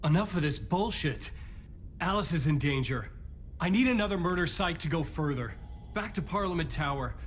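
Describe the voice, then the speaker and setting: Actor as a haunted, impatient man, higher-pitched. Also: animated, moderate pace, a little hiss or hum, lightly acted. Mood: impatient, determined. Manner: terse, intense, demanding. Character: desperate, impatient, haunted male writer seeking answers. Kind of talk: dramatic game acting.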